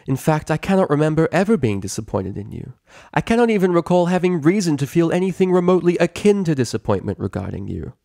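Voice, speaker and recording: A man's voice: a little high, very animated, quick pace, gravelly, mellow, no room sound, studio quality, pitched above the performer's own voice. A man voicing a stern, regal female pony ruler and mentor in a story reading. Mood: angry, stern. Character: stern regal mare princess, mentor ruler, angry then motherly.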